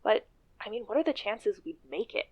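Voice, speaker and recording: A woman's voice: medium pitch, very animated, a little fast, breathy edge. A woman playing an outspoken, ambitious female activist leader in a naturalistic radio play, on a dull recording. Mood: weary, exasperated.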